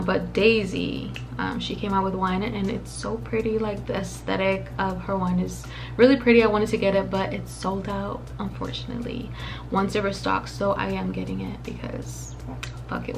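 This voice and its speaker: Chatty, slightly raspy young woman vlogger. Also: medium pitch, a little hiss or hum. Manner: chatty, profane, rambling with many fillers and asides; mixes in occasional Spanish phrases. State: slightly raspy, dry-mouthed voice. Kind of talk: casual storytime monologue.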